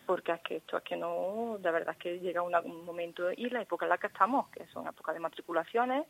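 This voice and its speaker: A woman's voice: fairly low pitch, quick pace, gravelly, a bit bright, low-quality recording. A woman speaking spontaneously in a phone interview, earnest and insistent, often repeating herself. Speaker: school parents' association member interviewed by phone.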